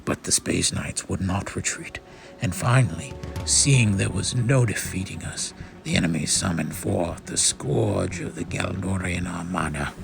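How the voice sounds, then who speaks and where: A man's voice: sits low, a little slow, gravelly. A man giving a solemn, theatrical first-person narration of a space saga, as in a dramatic comic-book reading. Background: music.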